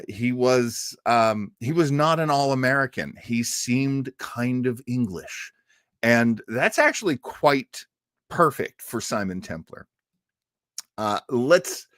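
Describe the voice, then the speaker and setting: Expressive man hosting, dry close sound. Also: mid-range voice, no room sound. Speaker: host of a radio listening party. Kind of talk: casual live introduction to an old radio show.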